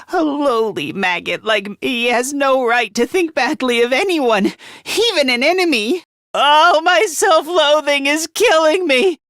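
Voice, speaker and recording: A man's voice: very high-pitched, pushed and bright, dry recording, studio quality, full character voice. A male actor voicing a meek, anxious, self-loathing young man fighter in an anime-style video game, stammering and apologetic.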